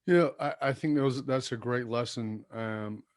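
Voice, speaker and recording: A man's voice: medium pitch, measured pace, dry recording, clean studio sound. A man hosting an interview, feeling his way through questions with many ums and self-corrections. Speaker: podcast host. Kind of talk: interview conversation.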